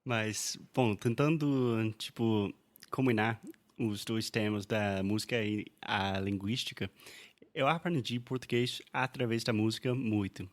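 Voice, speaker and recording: A man whose first language is English, hosting a podcast in careful learner's Portuguese, relaxed and casual. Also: lowish voice, unhurried. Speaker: podcast host and English teacher. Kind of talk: casual conversational interview.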